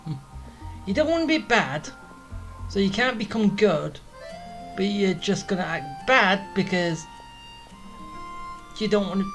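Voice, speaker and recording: A woman's voice: medium pitch, animated, a little slow, long pauses, rough recording. A woman giving casual, offhand playthrough commentary on a video game. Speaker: game streamer. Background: game music.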